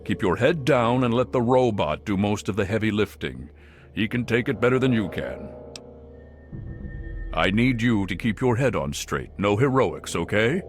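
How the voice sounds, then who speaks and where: An actor voicing a gruff veteran soldier giving clipped, no-nonsense orders in a video game. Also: male voice, lowish voice, expressive, moderate pace, soft background music, close to an everyday voice. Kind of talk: video game cutscene dialogue.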